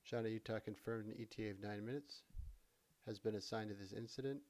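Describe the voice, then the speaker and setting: A man narrating a software tutorial in a casual, conversational way, explaining things step by step as an instructor. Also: fairly low pitch, fairly even intonation, fast talker. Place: voice-over for a screen recording.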